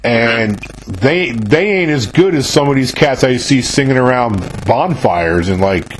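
A man hosting a radio interview, relaxed and agreeable, chatting easily with his guest. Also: mid-range voice, measured pace, slight background noise, rough recording. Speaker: host. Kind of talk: casual interview chat.

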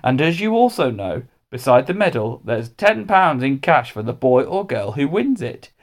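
A man's voice: medium pitch, very animated, moderate pace. A man voicing a kindly, pompous male headmaster making a formal speech in a storybook. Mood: ceremonious.

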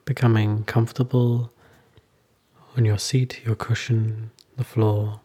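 A man leading a guided meditation as a teacher, soothing and unhurried, with long pauses between short phrases. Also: on the low side, flat delivery, warm tone, breathy edge.

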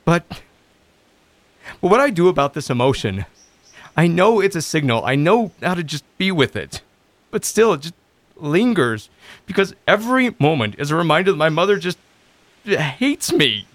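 A man acting the part of a lonely, self-doubting recovery coach in an audio drama, halting and introspective. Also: a little high, very animated, fairly bright tone, no room sound. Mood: despairing.

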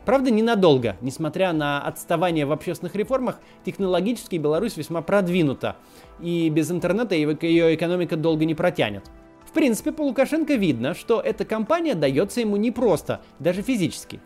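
A man's voice: sits high, big pitch swings, fast, a little mellow, soft background music. A man hosting a political video, delivering scripted commentary with ironic, sardonic asides.

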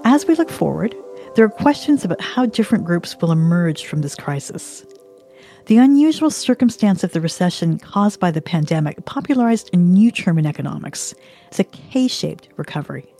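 A woman narrating a documentary in a calm, explanatory scripted read. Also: on the low side, normal speed, vocal fry, faint music behind.

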